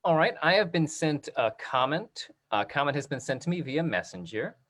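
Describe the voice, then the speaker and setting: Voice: medium pitch, animated, normal speed, a bit bright. An upbeat, encouraging host casually running a theater talkback over a video call.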